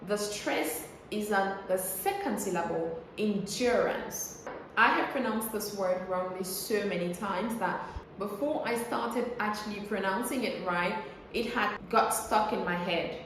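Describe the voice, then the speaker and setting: A woman's voice: mid-range voice, measured pace, fairly bright tone. A woman coaching English pronunciation in a conversational, explanatory style, enunciating carefully and posing rhetorical questions like a teacher. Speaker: English pronunciation coach and air traffic controller. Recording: very echoey room with faint noise.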